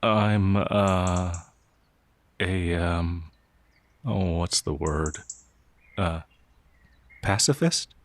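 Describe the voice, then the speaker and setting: A man playing a gentle, naive young peasant werewolf, hesitant and easily flustered, in a fantasy audio roleplay. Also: low voice, very slow, pauses often. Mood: shy, gentle.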